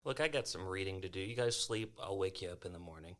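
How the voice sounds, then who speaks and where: A man's voice: deep voice, fast talker, fairly bright tone. A man playing a tabletop role-playing game, speaking casually as himself and as his character.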